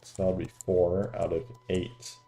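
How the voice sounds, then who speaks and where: Male gaming streamer, low and very dark-toned, casual thinking-aloud commentary over game music. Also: little pitch movement, normal speed.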